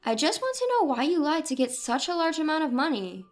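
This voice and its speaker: A woman's voice: high-pitched, very animated, brisk, faint music behind, voice pushed up. A woman voicing a caring but persistent grandmother in dialogue from a narrated story. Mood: indignant. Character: indignant, caring, persistent grandmother confronting her family.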